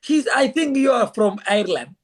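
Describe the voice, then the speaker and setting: A man on a video call, speaking halting, broken English in an eager, flattering way. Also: very high-pitched. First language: Somali. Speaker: live-stream guest. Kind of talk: casual video-call chat.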